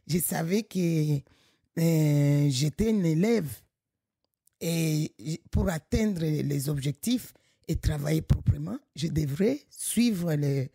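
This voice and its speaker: A middle-aged woman from Congo, director of a local association, speaking in an animated, emphatic way with frequent restarts. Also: low voice, gravelly, breathy edge, no echo, studio quality. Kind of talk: conversational podcast interview.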